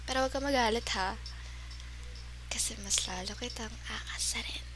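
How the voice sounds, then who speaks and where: Higher-pitched, teasing Filipino gamer girlfriend played by a woman. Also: normal speed, noisy background, close to an everyday voice. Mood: playful teasing. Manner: teasing, giggly, sighing, mixes English into Tagalog. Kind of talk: ASMR girlfriend roleplay.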